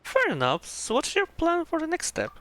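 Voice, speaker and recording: A man's voice: very high-pitched, wildly swooping pitch, moderate pace, pushed and bright. A man giving casual, playful commentary while streaming a game and echoing its dialogue. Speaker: streamer playing and reading along. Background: faint game music.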